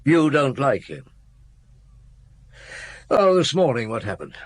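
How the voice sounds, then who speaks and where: A police chief inspector in a radio play. His voice is husky and mid-pitched, with lively rises and falls. He is shrewd and patient, probing with dry, unhurried questions. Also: heavily acted. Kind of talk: radio drama acting with narration.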